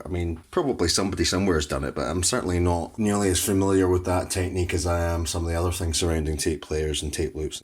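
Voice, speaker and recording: A man demonstrates music gear in a tutorial style. His voice is extremely deep with a husky edge. He explains things step by step in a plain, matter-of-fact way.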